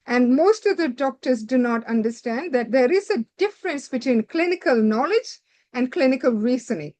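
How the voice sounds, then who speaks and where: A woman's voice: sits high, darkish tone. A woman giving a direct, instructive lecture to doctors preparing for a medical licensing exam, stringing her points together with "so".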